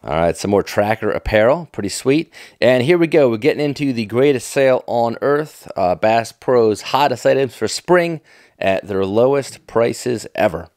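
A man's voice: mid-range voice, lively intonation, normal speed, darkish tone, no echo, clean studio sound. A middle-aged American man giving casual, off-the-cuff commentary in a folksy, enthusiastic, nostalgic manner. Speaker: fishing tackle vlogger.

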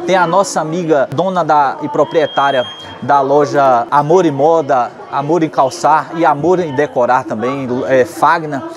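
A young man from Brazil speaks loud and steady in a slightly raised voice. He talks into a lapel mic in an open schoolyard. A crowd chatters clearly behind him. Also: a little high, normal speed. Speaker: municipal department official. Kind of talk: on-camera statement. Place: outdoor schoolyard beside a large crowd.

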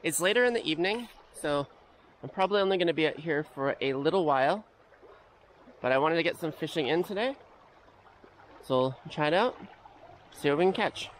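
Easygoing Canadian man fishing a river. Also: a little high. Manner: relaxed, easygoing commentary with quiet reactions. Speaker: fishing vlogger. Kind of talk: casual first-person vlog narration. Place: outdoors wading in a river. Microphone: head-mounted action camera. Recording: faint outdoor water noise. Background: rushing river water.

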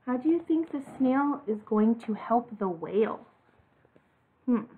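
A woman reading a children's picture book aloud like a teacher, with an expressive delivery, recorded at home. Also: on the high side, measured pace, husky. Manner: expressive, asks listeners questions. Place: living room at home. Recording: dull, phone-like home video audio.

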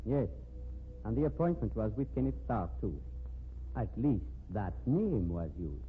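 An actor playing a formal, methodical police detective in a 1950s radio mystery, speaking with measured, precise courtesy. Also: male voice, fairly low pitch, big pitch swings. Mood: polite, assured. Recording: tinny, noisy 1950s radio recording.

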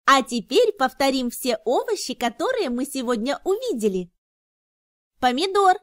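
A woman's voice: high voice, very animated, moderate pace, fairly bright tone, no echo, studio quality. A woman narrating an educational cartoon for small children in an enthusiastic, instructive, carefully enunciated way. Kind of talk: scripted narration for toddlers.

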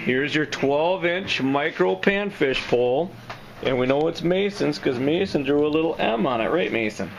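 A man's voice: on the high side, lively intonation, moderate pace, gravelly. A man filming his kids at home and patiently explaining how they build fishing gear. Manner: patient, practical, explaining steps. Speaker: father and cameraman. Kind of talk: casual instruction. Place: home workshop, indoors. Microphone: camera mic. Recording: noisy home video.

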